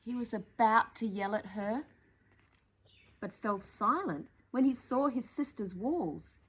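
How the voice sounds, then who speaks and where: A woman reads a picture book to young children with a very animated, swooping delivery. She takes her time, with a playful, theatrical emphasis and chatty asides, and her voice has a husky edge. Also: on the high side, slow. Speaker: storytime presenter reading children's picture books.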